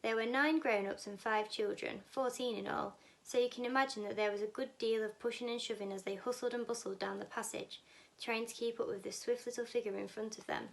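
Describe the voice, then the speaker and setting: A woman's voice: fairly high pitch, steady tone, brisk, vocal fry. A woman reading a children's novel aloud as scripted narration. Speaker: storybook reader, teaching assistant.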